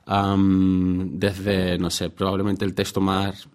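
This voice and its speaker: A man from Seville in his thirties, a guest researcher answering interview questions thoughtfully, pausing and restarting as he thinks. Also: low-pitched, monotone, a little mellow. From Spain, Seville. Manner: hesitant, many fillers and restarts while thinking aloud.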